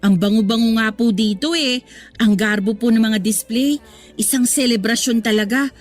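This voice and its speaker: An actress playing a cheerful, respectful female store employee with a Filipino accent, polite and expressive in a radio drama. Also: on the high side, moderate pace, soft background music, lightly acted. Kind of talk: Tagalog radio drama acting, conversational.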